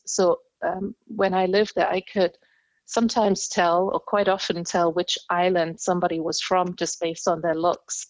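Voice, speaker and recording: Woman guest whose first language is Indonesian, lowish voice, thoughtful storyteller, dry recording. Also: darkish tone, dry recording, low-quality recording. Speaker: interview guest, communication skills trainer. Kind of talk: podcast interview storytelling.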